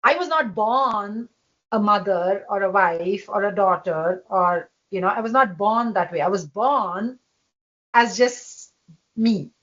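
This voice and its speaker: A woman with a naturally loud voice speaks as a guest on a podcast. She talks in an emphatic way, often breaking into laughter, in a voice of middle pitch. The sound is a little dull and lo-fi. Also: slow. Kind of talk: casual conversation.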